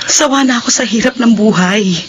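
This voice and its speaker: A woman acts out a poor young woman who is fed up and bitter. Her voice is high and plaintive, full of emotion in radio drama style. A faint noise sits behind it. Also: brisk, close to an everyday voice. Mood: bitter, pleading.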